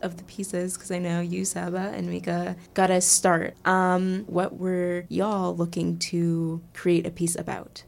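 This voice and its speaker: A woman chats casually on a podcast, explaining at length. Her voice is on the low side and gravelly. She fills her sentences with "like" and "kind of.". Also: monotone, a little slow, few pauses. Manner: chatty, explains with many fillers. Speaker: podcast team member. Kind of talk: casual chat.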